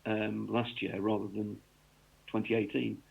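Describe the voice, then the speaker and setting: Low gravelly man on a phone line. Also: level tone, a little slow. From North East England. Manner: rambling, full of ums and restarts. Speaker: cricket author, guest. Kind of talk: conversational interview on radio.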